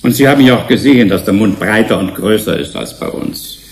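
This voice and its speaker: Actor as low, echoing male genetic engineer. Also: brisk, lightly acted. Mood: defensive. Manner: hesitant in places, technical. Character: defensive male genetic engineer on a research team. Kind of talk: German science-fiction radio play dialogue. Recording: roomy echo, faint noise.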